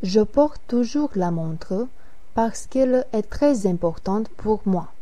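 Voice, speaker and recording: A woman reading Marie, slow and mellow. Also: mid-range voice, animated, no echo. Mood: matter-of-fact. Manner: careful, evenly paced reading. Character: adult woman chatting about clothes and taste. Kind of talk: slow scripted language-lesson dialogue.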